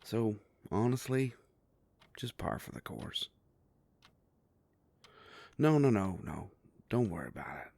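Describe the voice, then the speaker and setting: A man playing a sardonic, grumbling werewolf private investigator with a soft heart, in an audio roleplay drama. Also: sing-song, slow pace, long pauses, no echo, full character voice. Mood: wry, reassuring. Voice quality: low gravelly voice.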